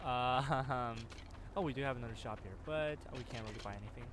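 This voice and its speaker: A young man streaming a video game, chatting in a rambling, casual way with many fillers, keeping his voice quieter than usual to rest it. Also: medium pitch, quick pace, background noise. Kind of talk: casual gameplay commentary. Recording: voice over loud game music.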